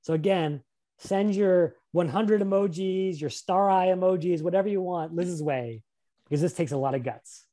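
A man's voice: high voice, normal speed, dark tone. A man presenting a career webinar over a video call, upbeat and encouraging, often putting questions to a volunteer. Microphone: webcam on a video call.

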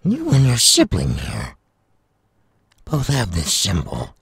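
A man voicing a menacing, sadistic, flesh-hungry demon villain in an audio roleplay, with a gravelly, raspy voice and slow, taunting delivery. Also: mid-range voice, huge pitch swings, fairly bright tone, dry recording, clean studio sound, heavily acted. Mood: scornful. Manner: slow, taunting, drawn-out delivery with wide swings. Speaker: voice actor.

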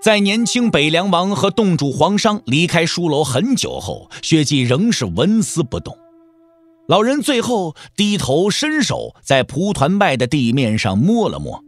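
A man's voice: fairly high pitch, sing-song, unhurried, no echo, soft background music. A man narrating an audio drama as a dramatic storyteller, with emphatic, theatrical phrasing.

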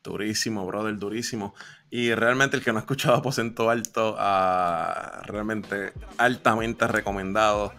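A man's voice: on the low side, steady tone, moderate pace, bright, forceful tone. A young man hosting an interview with upbeat, friendly, slang-laced talk. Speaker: host. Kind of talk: casual interview. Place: home studio with shelves.

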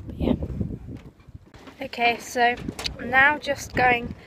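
A teenage girl talks into a phone held at arm's length while out walking by a marina. Her voice sits a little high, and she rambles along with plenty of "um" and "like." The outdoor recording carries clear background noise. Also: flat delivery, slow pace. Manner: rambling, lots of "um" and "like". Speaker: vlogger. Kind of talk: casual vlog chat. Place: outdoors at a sunny marina and woodland path.

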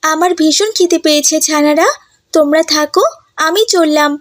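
A caring cartoon mother duck, voiced by a woman. She speaks in a high, dry-sounding voice, soothing and protective, with a cautioning motherly manner suited to a fairy tale. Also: steady tone, normal speed, no echo, close to an everyday voice. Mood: tender. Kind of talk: animated fairy-tale voice acting.

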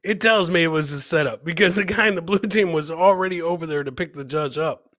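Middle aged guy doing jokey clip reactions, bright punchy voice, dry close mic, repeats himself a lot. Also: on the high side, brisk, no room sound. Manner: chatty, jokey, repeats phrases. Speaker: comedy host. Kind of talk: casual live reaction commentary on viral clips.

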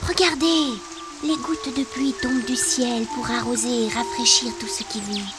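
A woman voicing a kindly guiding female spirit in a children's cartoon, gently explaining things. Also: fairly high pitch, steady tone, moderate pace, music in the background, natural voice. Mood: gentle.

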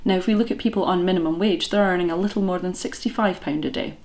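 Pointed woman, fairly low voice. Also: a little mellow. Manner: pointed, deliberate. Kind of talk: speaking to camera. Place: living room indoors.

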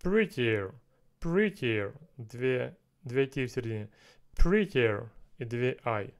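Slow-talking man working through a lesson. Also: medium pitch, expressive. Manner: slow, repeats and translates phrases, mutters to himself. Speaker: language learner streaming a lesson. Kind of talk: thinking aloud while doing app exercises. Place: small room indoors. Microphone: headset.

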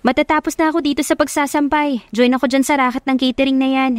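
A cheerful young working woman from the slums as a female narrator puts her on, in a radio drama love story. She talks confidently and casually, with a raised pitch and a dry, close sound. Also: fairly even intonation, fast talker, no room sound, close to an everyday voice. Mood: brisk, eager.

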